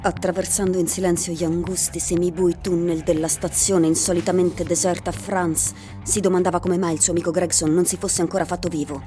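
A woman's voice: fairly low pitch, little pitch movement, fairly quick, few pauses, mellow. A woman reading scripted literary narration for a radio drama. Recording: voice over faint music.

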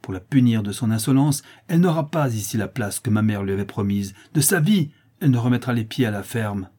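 A man's voice: medium pitch, lively intonation, brisk, warm tone, breathy edge. A man voicing an indignant, loyal young lady in a storybook reading, commanding and agitated. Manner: imperious, agitated, exclamatory.

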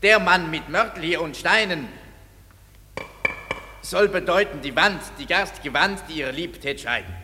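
A self-important amateur craftsman who recites his lines stiffly. His voice is high and bright. A long reverb surrounds it. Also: a little slow, pauses often, a little hiss or hum. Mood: pompous. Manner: stilted, pompous recitation. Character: earnest amateur craftsman announcing a play. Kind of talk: classic radio play acting.

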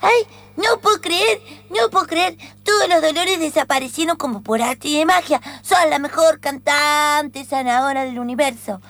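Timid, whiny little Argentine girl, played by a woman, very high creaky voice, improvised radio play. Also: moderate pace, a bit bright, dry recording. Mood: amazed, grateful. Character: sick little girl, timid, adoring younger sister.

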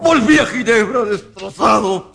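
A man acting a grief-stricken, vengeful young man in a dramatized radio novel, speaking in a theatrical, slow and emphatic way. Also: high-pitched, expressive, gravelly, a bit bright, breathy edge, full character voice. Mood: grieving.

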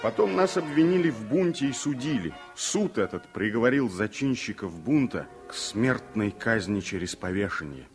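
A man's voice: mid-range voice, expressive, normal speed, hardly any pauses, soft background music, lightly acted. An actor playing a grave former political exile in a radio play, recounting his memories in a solemn, weighty way. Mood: bitter, intense. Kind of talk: Soviet radio play dramatic storytelling.

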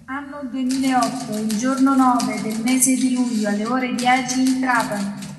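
A man's voice: extremely high pitch, steady tone, unhurried. An actor playing a formal, officious male court official, proclaiming a record in a bureaucratic stage delivery. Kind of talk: declaimed stage drama. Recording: echoey hall, far from mic.

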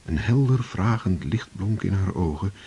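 A man narrating a radio play in a measured, literary manner. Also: deep voice, animated, warm tone, slightly rough, highs cut off.

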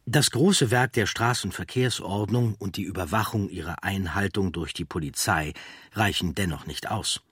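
Low-pitched man narrating, husky and dry. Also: normal speed, a bit bright, no echo. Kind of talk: scripted documentary audiobook narration.

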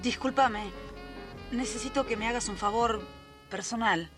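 An actress playing a brisk, busy, insistent woman in a radio drama, with a Rioplatense accent. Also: on the high side, music in the background, close to an everyday voice. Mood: urgent, insistent. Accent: Rioplatense Argentine.

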